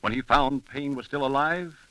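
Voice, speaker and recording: A man's voice: mid-range voice, moderate pace, sharp tone, no echo, rough recording. A man narrating a scripted 1930s radio drama in a theatrical, emphatic manner. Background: dramatic music.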